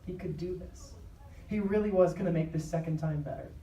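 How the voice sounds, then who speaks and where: A woman's voice: fairly low pitch, fairly quick, mellow. A woman narrator reading a scripted dramatic story live. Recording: echoey live stage, distant noisy mic.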